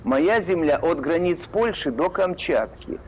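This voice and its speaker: Brief: man, somewhat high voice, sarcastic and needling, coming through dull lo-fi call audio. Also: expressive, a little slow, a little mellow, slight background noise. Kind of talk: heated argument.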